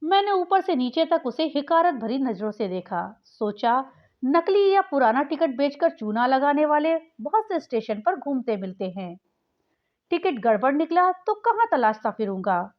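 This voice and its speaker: A woman narrating a scripted short story in an expressive, dramatic storytelling manner. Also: high-pitched, normal speed. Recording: dull, lo-fi sound.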